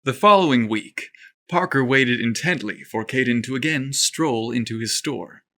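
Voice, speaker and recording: Bright-voiced man narrating a story. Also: mid-range voice, moderate pace.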